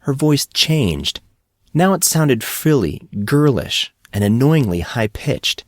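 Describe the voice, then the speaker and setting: A man's voice: mid-range voice, big pitch swings, slow pace, dry recording. A man reading a scripted story aloud as an audiobook narrator.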